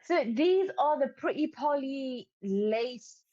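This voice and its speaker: Laid-back woman co-host just chatting, voice on the higher side, kinda muffled lo-fi sound. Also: lively intonation, slow, mellow. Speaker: podcast co-host. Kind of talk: casual podcast chat.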